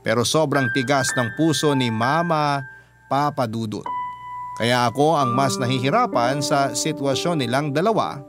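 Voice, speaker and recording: A man's voice: medium pitch, moderate pace. A man with a Filipino accent narrating a listener's letter as a radio storyteller. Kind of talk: dramatic first-person story narration. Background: prominent background music.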